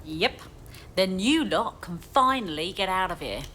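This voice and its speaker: A woman's voice: on the low side, animated, a little slow, over a noisy crowd. A woman playing a breezy, cheeky angel in an audio drama.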